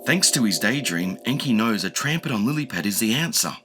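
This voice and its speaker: A man narrating a children's audiobook in a gentle, expressive storytelling manner. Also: on the low side, a little fast, over music. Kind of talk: children's audiobook read-aloud.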